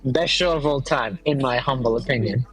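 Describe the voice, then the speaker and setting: A man's voice: mid-range voice, fairly quick, fairly bright tone, faint music behind, rough recording. A man chatting casually as a guest on a live stream, with a playful, mock-formal turn of phrase. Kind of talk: casual chat.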